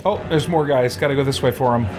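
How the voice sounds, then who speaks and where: A man giving casual let's play commentary, reacting out loud and talking to the game's characters. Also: medium pitch, quick pace. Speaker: gaming show host. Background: video game music.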